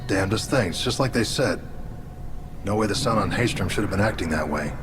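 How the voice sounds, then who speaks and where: Actor as male alien soldier, deep voice, plainspoken, terse, loyal, respectful, video game dialogue with music. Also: lively intonation, fairly quick, close to an everyday voice. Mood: plain, cordial. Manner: terse, matter-of-fact, respectful.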